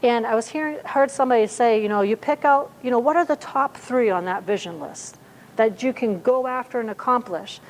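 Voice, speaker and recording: A woman's voice: a little high, a little fast, a little hiss or hum, low-quality recording. A woman in her fifties preaching a sermon in a conversational way, with many fillers and restarts. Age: 50s. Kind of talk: sermon at a church service.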